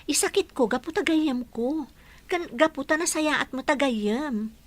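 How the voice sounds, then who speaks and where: Woman acting young woman, fairly high voice, loyal, standing her ground, radio drama. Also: unhurried. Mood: defensive.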